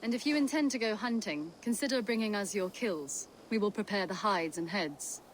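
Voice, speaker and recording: A woman's voice: mid-range voice, moderate pace, a little hiss or hum, lightly acted, rough recording. A woman playing a shrewd, confident hunter and trader in a video game, polite, articulate and businesslike. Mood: assured, businesslike. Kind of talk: video game character acting.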